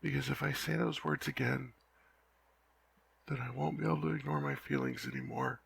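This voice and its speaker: A young man playing a lovesick, flustered knight in an ASMR roleplay, talking softly and haltingly with frequent stammers. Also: mid-range voice, steady tone, vocal fry, breathy edge. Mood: anxious, pleading. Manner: halting, stammering, soft-spoken.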